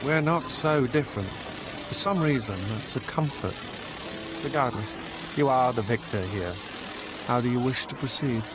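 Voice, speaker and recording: An actor playing a courteous, pragmatic veteran intelligence agent of an empire in a video game, speaking formally and with measure. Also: male voice, mid-range voice, moderate pace, noisy background, lightly acted. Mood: respectful. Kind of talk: video game cutscene acting.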